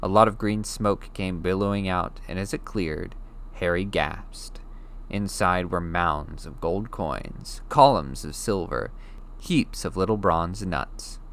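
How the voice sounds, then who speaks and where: A man reading a novel chapter aloud as an audiobook narrator, with steady, clear storytelling. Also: low-pitched, slow pace. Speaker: audiobook reader.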